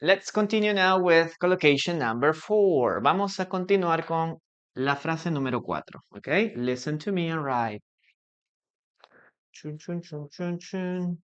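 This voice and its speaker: Slow, patient teacher with a Peruvian accent. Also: medium pitch, expressive, clean tone, lo-fi sound. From Peru. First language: Spanish. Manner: patient, repeats key phrases, checks in with "okay?". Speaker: English teacher. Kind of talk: live online English class.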